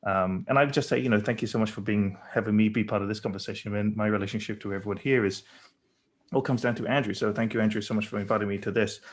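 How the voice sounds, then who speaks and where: A man's voice: on the low side, fairly even intonation, fast. A middle-aged man born in Burma and raised in England, with an English accent, speaking thoughtfully as a panel guest with many fillers and restarts. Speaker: panel guest, company founder. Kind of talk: conversational panel talk.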